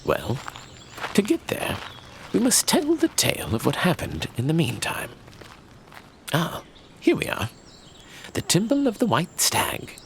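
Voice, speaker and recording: A man playing a learned old archivist who tells a fantasy legend in a grave, measured, theatrical way. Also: sits high, huge pitch swings, a little hiss or hum, exaggerated voice, rough recording. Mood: kindly, wise. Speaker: narrator. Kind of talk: dramatic fantasy audio drama storytelling.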